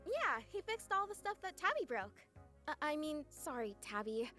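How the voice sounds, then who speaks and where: A woman's voice: high-pitched, big pitch swings, normal speed, bright, forceful tone, heavily acted. A woman voicing a nervous, tactless young classmate in a visual novel, hesitant and apologetic. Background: faint music.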